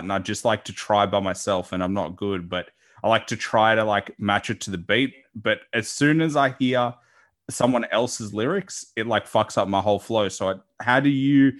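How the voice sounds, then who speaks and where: A man's voice: on the low side, moderate pace, fairly bright tone. A man hosting a casual interview, asking informal, wordy questions peppered with "like". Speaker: podcast host interviewing a guest.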